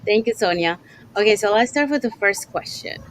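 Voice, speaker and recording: A woman's voice: medium pitch, lively intonation, normal speed, background noise. Woman from Panama hosting a live stream. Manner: many ums and uhs, restarts. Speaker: host. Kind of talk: casual livestream hosting.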